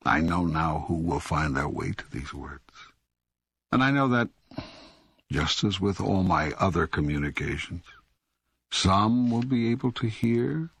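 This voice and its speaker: An older man playing God as a calm, patient, authoritative teacher in an audiobook, speaking in a measured, deliberate way with a gravelly, husky voice. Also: low voice, exaggerated voice. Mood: solemn, assuring. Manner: measured, deliberate, emphatic phrasing with pauses. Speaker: audiobook narrator. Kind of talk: scripted audiobook dialogue reading.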